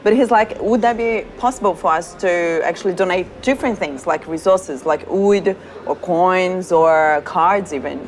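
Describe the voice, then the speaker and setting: A woman's voice: on the low side, moderate pace, slight background noise. A woman with a Brazilian accent, a product manager cheerfully reading viewer questions to a colleague in a casual chat, laughing often. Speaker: product manager hosting a community Q&A.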